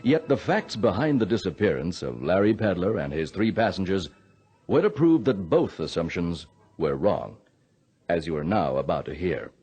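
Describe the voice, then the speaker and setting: Low, expressive air traffic controller. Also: male voice, normal speed, breathy edge, faint music behind, exaggerated voice, low-quality recording. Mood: grave narration. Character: male air traffic controller recounting a mystery, steady and grave. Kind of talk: dramatic first-person radio narration and dialogue.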